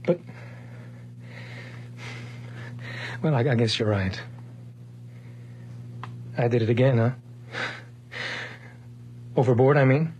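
A male actor plays a fragile, anxious man trying to hold himself together in an eighties radio horror play. He speaks earnestly and haltingly at a middle pitch. The old broadcast recording carries a light layer of noise. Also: darkish tone, close to an everyday voice. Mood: nervous, hesitant. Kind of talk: 1980s radio horror drama acting.

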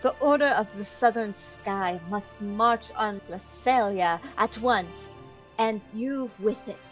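Woman as a stern knight, slow measured speech, high for a man, courtly, music behind. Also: poor audio. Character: stern, earnest male knight questioning and advising. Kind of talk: amateur video game dub.

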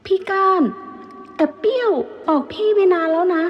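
Woman acting a sweet, high-voiced young village woman. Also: moderate pace. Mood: firm, pleading. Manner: soft polite endearing particles. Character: sweet, polite young village woman, firm yet sorrowful. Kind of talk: dramatised Thai folk storytelling. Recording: muffled, long reverb.

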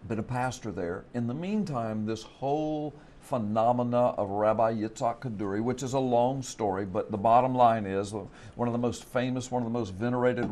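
Animated middle-aged man telling a story. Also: fairly low pitch. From United States Gulf Coast. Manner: animated storytelling, gesturing with his hands. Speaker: pastor and author. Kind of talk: studio panel discussion. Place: TV studio set.

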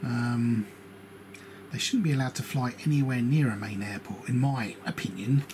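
Male plane-spotting hobbyist, casual and opinionated, grumbling commentary, clear background noise. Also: mid-range voice, moderate pace. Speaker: aviation hobbyist narrating radio scanner and flight tracker. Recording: radio and ambient noise behind voice.

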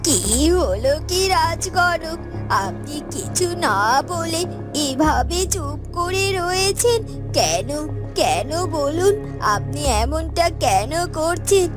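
Cartoon heroine: a young gardener, voiced by a woman, very high, animated and defiant, music bed. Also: slow, exaggerated voice. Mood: demanding. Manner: defiant, pleading, emotional. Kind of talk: Bengali cartoon voice acting. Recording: music under the voice.

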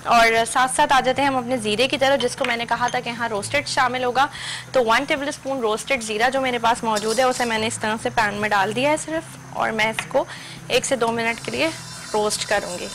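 A woman's voice: fairly high pitch, fairly even intonation, brisk, background noise. A young woman, hosting a TV cooking demonstration from a studio kitchen and walking viewers through each step. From Pakistan. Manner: instructive, addresses viewers directly. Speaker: cooking show host.

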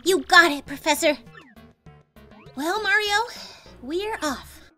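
A woman's voice: extremely high pitch, slow, sharp tone, soft background music, full character voice. A woman voicing a perky, sassy young female Goomba student sidekick with a casual, pert manner, in a game fan dub. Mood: eager.

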